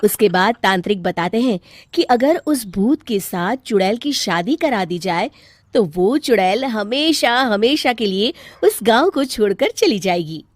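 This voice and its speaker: A woman's voice: medium pitch, expressive, measured pace, dry recording, clean studio sound. A woman narrating a scripted children's story as the storyteller.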